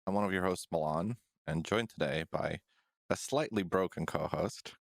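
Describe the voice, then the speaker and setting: A man hosting a casual podcast, easygoing, chuckling and a bit halting. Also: low-pitched, gravelly, dry recording, clean studio sound. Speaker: podcast host. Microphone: studio microphone.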